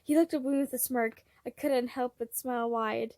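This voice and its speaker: A woman's voice: fairly high pitch, fairly even intonation, normal speed, a little mellow, dry recording, studio quality. A woman reading fan fiction aloud as an amateur narrator, expressively and with acted dialogue.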